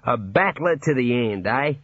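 A male narrator doing chatty aussie jailer, husky, upbeat, muffled audio. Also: medium pitch, animated, measured pace, a bit bright, no echo. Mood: jovial. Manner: breezy, matter-of-fact about grim things. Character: cheerful older male prison warder, jovial, chatty.

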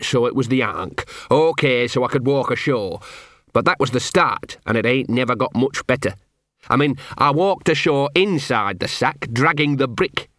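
A man's voice: medium pitch, a bit bright, no echo, studio quality, natural voice. Man voicing a gloomy scruffy street dog. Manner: grumbling, self-pitying, coaxing. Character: scruffy small grey male street dog, gloomy and wheedling.

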